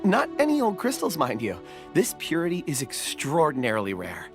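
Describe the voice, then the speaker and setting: Earnest young dreamer, lively intonation. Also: male voice, on the high side, moderate pace, faint music behind. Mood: grateful. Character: gentle, polite young ore-lover, earnest and dreamy. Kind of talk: video game voice acting.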